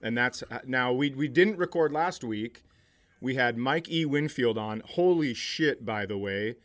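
A man's voice: medium pitch, expressive, measured pace, fairly bright tone. A man hosting a comedy podcast, bantering casually with a teasing, sarcastic and profane manner.

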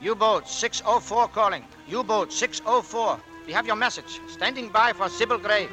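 Actor as a high-pitched U-boat radio operator. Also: male voice, moderate pace, loud music behind the voice, lightly acted, rough recording. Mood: formal, alert. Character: German U-boat radio operator, military and formal. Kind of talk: 1940s radio acting.

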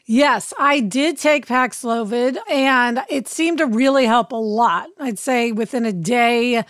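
A woman with a raspy voice from illness, co-hosting a podcast in casual chat and acting out quoted lines. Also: a little high, slow pace, fairly bright tone, no room sound, clean studio sound. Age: adult with a child. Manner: chatty, acts out quoted dialogue. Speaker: podcast co-host.

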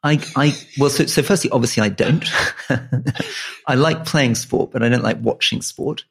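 A man who grew up in Malaysia co-hosting a casual political podcast, earnest and halting, often restarting and repeating words. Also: medium pitch, creaky, slightly rough. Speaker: podcast co-host.

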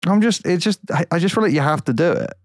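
A man's voice: sits high, expressive, fast, no echo, clean studio sound. Rambling, laughing man. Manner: rambling, laughs often, many fillers. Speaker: podcast co-host. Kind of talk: casual podcast chat.

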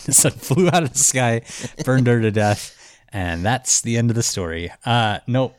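A man's voice: medium pitch, measured pace, smooth, dry recording, clean studio sound. A man hosting a podcast who is congested and tells a story in a loose, chatty way full of "like" and "um". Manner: loose and chatty, with many "like" and "um" fillers. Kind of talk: casual storytelling chat.

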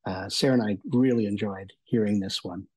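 A man's voice: on the low side, fairly even intonation, fairly quick, darkish tone, slightly rough. A man giving an enthusiastic, rambling conversational review as a guest on a talk show. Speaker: guest reviewer of audio entertainment.

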